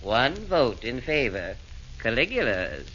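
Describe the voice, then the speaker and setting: A man's voice: medium pitch, sing-song, slow pace, pushed and bright, a little hiss or hum, full character voice. An actor playing the sly, pompous chairman of a council of fiends in a 1940s radio comedy, speaking in rhymes. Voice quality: pompous, sing-song rhyming. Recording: muffled 1940s radio broadcast.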